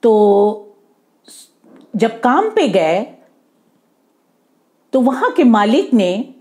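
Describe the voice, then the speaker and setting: A woman's voice: fairly high pitch, big pitch swings, very slow, darkish tone. A woman telling a moral story to children in an informal, explanatory way, repeating and rephrasing as she goes.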